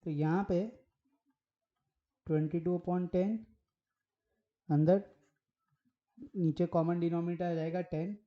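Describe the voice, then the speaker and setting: A hoarse man teaching maths at a whiteboard. He works through each step and keeps asking rhetorical questions as he goes. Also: on the high side, fairly even intonation, slow, pauses often, muffled recording. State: hoarse from a sore throat.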